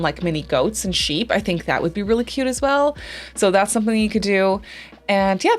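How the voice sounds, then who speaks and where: A young woman whose first language is Dutch, chatting casually over a voice-over with lots of fillers and self-corrections. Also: medium pitch. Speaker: Sims YouTuber.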